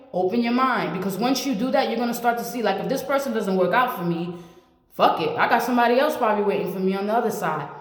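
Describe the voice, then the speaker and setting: A woman in her late twenties giving blunt, repetitive advice to the camera as a vlogger. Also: on the high side. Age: 20s. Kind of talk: motivational talk to camera. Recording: echoey room with long reverb.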